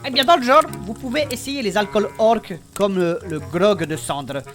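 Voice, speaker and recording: A woman's voice: medium pitch, normal speed, faint music behind, close to an everyday voice. A woman playing an eager, cheeky fantasy companion in a comedic audio drama, speaking casually. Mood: keen. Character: eager, cheeky fantasy companion who loves strong drink.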